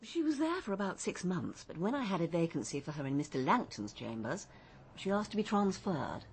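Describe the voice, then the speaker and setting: Prim, expressive English businesswoman. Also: fairly low pitch, fairly quick, a little hiss or hum. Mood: prim, assured. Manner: formal, precise, prim. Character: proper, proud female cleaning agency proprietor. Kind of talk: radio drama acting.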